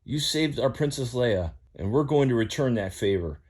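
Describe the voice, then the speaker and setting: A man's voice: mid-range voice, expressive, moderate pace, a little mellow, breathy edge, pitched above the performer's own voice. A man voicing an eager young male hero in a read-aloud story. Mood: earnest.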